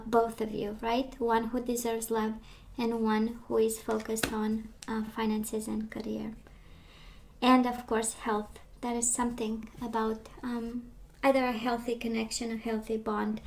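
A woman giving a casual tarot reading, chatty and reassuring, her voice strained as she loses it and clears her throat. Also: on the high side, darkish tone. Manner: chatty, reassuring, many fillers and restarts. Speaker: tarot reader. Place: indoors at a table of tarot decks.

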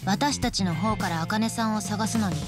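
A woman's voice: medium pitch, normal speed, over music, close to an everyday voice. An actress playing a confident young woman in a video game, playful, teasing and self-assured as she talks. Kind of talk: Japanese video game dub acting.

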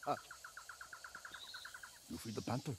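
A man's voice: unhurried, heavy background noise, lightly acted. A man playing a volatile, swaggering cartel-heir party host in a video game, effusive with a menacing edge, often ending phrases with "huh". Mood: scornful, bossy. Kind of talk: video game cutscene acting.

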